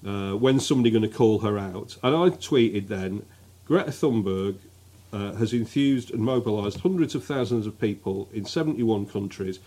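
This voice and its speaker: Husky, dry-witted man co-hosting. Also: lowish voice, normal speed, warm tone. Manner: dry, sardonic, reads out tweets. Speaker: podcast co-host. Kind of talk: casual comic chat.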